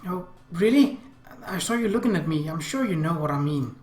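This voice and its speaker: A man's voice: fairly high pitch, animated, moderate pace, darkish tone, echoey room, natural voice. A man voicing a shy, eager teenage schoolgirl in love, reading from a visual novel. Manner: bashful, eager. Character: shy, lovestruck teenage schoolgirl confessing her feelings.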